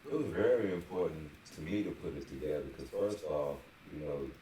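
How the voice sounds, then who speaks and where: A man's voice: extremely low pitch, brisk, mellow, far from the mic. A middle-aged man giving a casual, slangy interview, speaking emphatically and often checking for agreement. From San Antonio, Texas. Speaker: interview guest, rapper. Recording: very echoey room, off-mic, faint noise.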